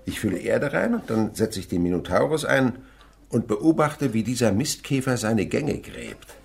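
An actor playing an elderly French insect researcher in a German radio play, lecturing emphatically and commanding those around him. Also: male voice, mid-range voice, normal speed, creaky, slightly rough, full character voice. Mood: busy, commanding. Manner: emphatic, animated, instructive. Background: buzzing insects.

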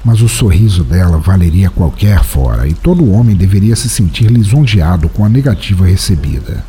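A man's voice: deep voice, lively intonation, moderate pace, dark tone, a little hiss or hum. A Brazilian man playing a world-weary, broke bohemian writer, narrating an audio drama in a reflective, wistful manner. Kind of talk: first-person literary audio drama narration.

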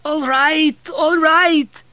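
A man's voice: extremely high pitch, steady tone, drawn-out words, sharp tone, no echo, voice pushed up, heavily acted. A man narrating an audiobook, voicing a querulous, whining, frail old woman with a thin, cracked, quavering voice. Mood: querulous, suspicious. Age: elderly. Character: querulous, toothless, frail old Polish peasant woman, suspicious.

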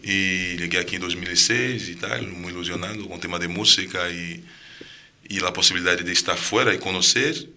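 A Brazilian musician with a Brazilian Portuguese accent speaking Spanish in a radio studio interview, talking softly and trailing off between restarts. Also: male voice, low voice, steady tone, normal speed. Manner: soft-spoken, trailing off, hesitant restarts. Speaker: musician guest.

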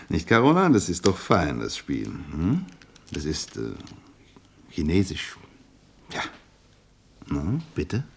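Radio drama role: ingratiating bachelor businessman, low husky voice that swings widely, hesitant and gushing. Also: wildly swooping pitch, extremely slow, pauses often, slight background noise, heavily acted. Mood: jovial, coaxing. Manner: gushing, hesitant, chuckling between phrases. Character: effusive, ingratiating bachelor businessman, clumsy flatterer. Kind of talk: naturalistic German radio drama acting.

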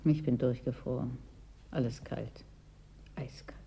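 An actress in a German radio play as a wistful, anxious clerk, chilled and clinging to hope. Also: female voice, very deep voice, steady tone, unhurried, dark tone, slightly rough, in a whisper, full character voice. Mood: cold, uneasy.

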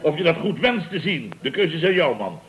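A pompous contractor boss from a vintage radio comedy, full of indignant bluster and self-importance. His pitch swings widely as he rambles and exclaims. The old recording sounds dull and boxed-in. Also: sing-song, brisk. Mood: huffy, sarcastic. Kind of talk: 1960s-70s Dutch radio comedy acting.

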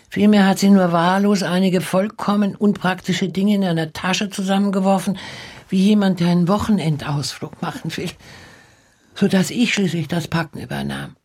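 An actress playing an elderly woman who recalls wartime losses in a measured, grave radio drama narration with long pauses. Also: low-pitched, vocal fry, a little mellow. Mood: pained, urgent. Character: elderly Czech woman Vera recalling her friend's wartime deportation.

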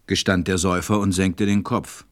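A man with a low voice narrates a storybook as part of a radio play. He tells it in a measured way, recorded close and dry. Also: level tone, dry recording.

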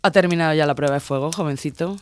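A man's voice: a little high, fast talker, slight background noise, close to an everyday voice. A man playing an intense, possessive sailor woman of twenty-six in an audio drama, speaking in an insistent, teasing way. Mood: earnest, intimate. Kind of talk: dramatised audio story dialogue.

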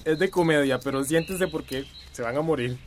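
An actor playing an excitable gossip who relishes his news, teasing and theatrical, in a radio soap opera. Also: a little high, moderate pace, slight background noise, lightly acted. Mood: gleeful gossip.

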